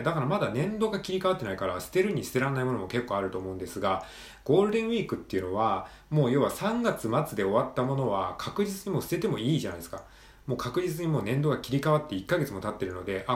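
Fast-talking man with a low, echoey voice. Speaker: solo podcast host. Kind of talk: casual monologue with practical tips.

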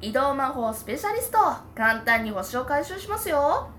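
A high, very animated schoolgirl voice acted by a woman, cheerful and sure of herself. She talks casually, like an excitable young magic student in an amateur audio drama. There is faint noise in the recording. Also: moderate pace, heavily acted. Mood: confident, bold.

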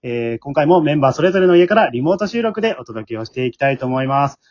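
A man hosts a relaxed group podcast over a slightly dull remote home recording. He talks quickly with a very animated pitch, slipping from polite announcements into chatty asides. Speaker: host, leader of an amateur drama group. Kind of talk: hosting a casual podcast round-table.

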